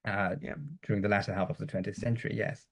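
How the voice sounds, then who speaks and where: A man's voice: on the low side, flat delivery, brisk, vocal fry, a crowd in the background. A man answering in an interview, hesitant, with repeated restarts. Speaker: interview guest. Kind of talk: casual interview talk.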